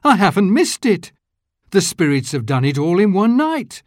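A giddy old miser bursting with joy, as a storyteller puts him on. The voice is lifted high above the reader's own, and it leaps about with excitement in a dry, close recording. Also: moderate pace, no room sound, close to an everyday voice. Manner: excited, exclaiming.

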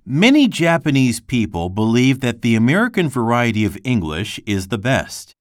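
A man reading a textbook passage aloud for a language lesson, slow and careful, with clear pauses between phrases. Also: mid-range voice, big pitch swings, no echo, clean studio sound. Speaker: textbook reader.

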